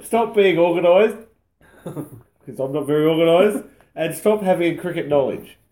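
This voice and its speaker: A man's voice: fairly high pitch, animated, moderate pace, warm tone, roomy sound. A man with a nasal voice, joking wryly at his own expense on a casual podcast. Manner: self-deprecating, wry. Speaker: podcast co-host.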